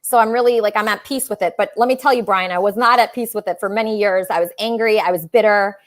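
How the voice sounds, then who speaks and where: A woman's voice: medium pitch, fast talker. A woman hosting a casual livestream talk show, chatting with callers in a gushing, emphatic way.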